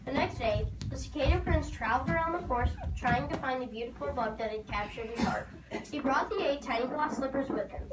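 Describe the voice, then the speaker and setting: A woman's voice: high-pitched, fairly quick. A woman narrating a scripted storybook tale for a readers theater performance. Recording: noisy, echoey hall from far off.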